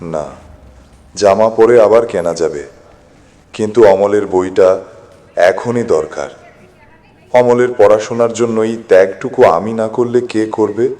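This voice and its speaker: A man acting a poor, hardworking farmer father, gentle and self-sacrificing, in a dramatised moral story. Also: on the low side, measured pace, pauses often, lightly acted. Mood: resolved, thoughtful. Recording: long echoing reverb.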